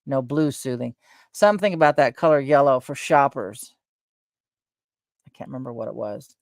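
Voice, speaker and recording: A middle-aged woman with a soft voice, chatting casually and at length as host of her own stream, wandering between thoughts with fillers and self-corrections. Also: extremely low pitch, moderate pace, no echo, studio quality. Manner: meandering, trails off, frequent fillers and self-corrections. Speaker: livestream host. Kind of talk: rambling casual chat.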